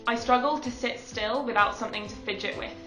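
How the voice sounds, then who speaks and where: A nineteen-year-old woman giving calm, deliberate interview answers. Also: a little high. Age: teens. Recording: echoey, distant mic, background music.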